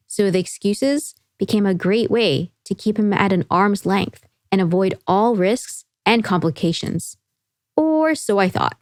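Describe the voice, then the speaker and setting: A woman's voice: mid-range voice, darkish tone, no room sound, clean studio sound. A woman host telling a scripted story as narrator, with wry, chatty asides. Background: music behind the voice.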